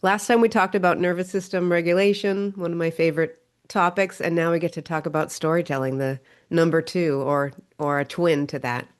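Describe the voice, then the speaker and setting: A woman's voice: on the low side, steady tone, fairly quick. A middle-aged woman who sounds stuffy from a cold, talking eagerly as a guest in a casual interview. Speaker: guest author and coach.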